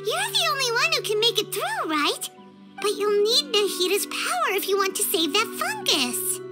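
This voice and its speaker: A tiny floating fairy girl for a video game. The voice is extremely high and squeaky, swooping wildly in pitch with childlike, chirpy excitability. Prominent music plays behind it. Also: normal speed. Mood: worried.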